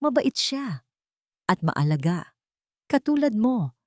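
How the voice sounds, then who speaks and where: A woman's voice: fairly high pitch, big pitch swings, takes it slowly, no room sound, clean studio sound, voice pushed up. A woman voicing a proud, curt young rich man with a secretly tender side, in a romance audio story.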